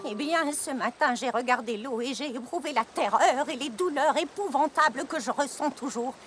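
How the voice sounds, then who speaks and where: A woman's voice: high voice, moderate pace, a bit bright, slight background noise. A woman voicing a spry, stubborn elderly farm pony grandmother, folksy and headstrong, in a French-dubbed children's cartoon. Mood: earnest. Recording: music under the voice.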